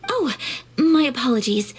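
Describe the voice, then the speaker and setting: A woman playing a polite, earnest young noblewoman in a video game, speaking formally and gently. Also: sits high, big pitch swings, measured pace, husky, exaggerated voice.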